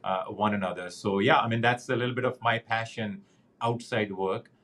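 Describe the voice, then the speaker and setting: Earnest, halting middle-aged man, low voice. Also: steady tone, fairly bright tone. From Pakistan. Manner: halting, many fillers and restarts, earnest. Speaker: guest, banking executive. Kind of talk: conversational interview.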